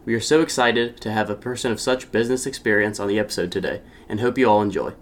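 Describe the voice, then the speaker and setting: Young male podcast host, lowish voice. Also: fast talker. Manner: formal scripted reading, earnest. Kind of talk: read introduction, then interview questions. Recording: faint ambient noise.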